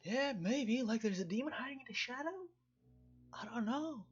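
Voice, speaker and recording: A man's voice: very high-pitched, lively intonation, normal speed, slightly rough, highs cut off. A man giving casual, sarcastic let's-play commentary on a video game, with joking asides and dialogue read aloud.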